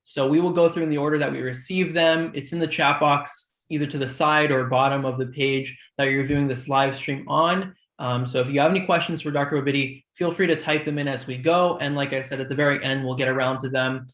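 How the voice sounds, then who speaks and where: A man's voice: mid-range voice, steady tone, a little fast, few pauses, a bit bright, echoey room. A young man hosting a student shadowing live stream, giving organized reminders with frequent ums. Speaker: host of a student shadowing program.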